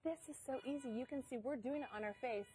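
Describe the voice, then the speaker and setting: A woman with an Ohio accent pitches a product on a shopping channel, her voice sitting a little high. She speaks in emphatic, upbeat selling patter, and she is pregnant. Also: quick pace, mellow, a little hiss or hum. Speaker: product spokeswoman.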